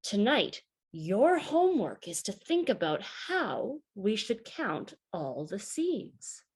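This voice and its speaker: A woman voicing a male schoolteacher in a storybook, gently questioning and encouraging his young class. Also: medium pitch, big pitch swings, takes it slowly, breathy edge, full character voice.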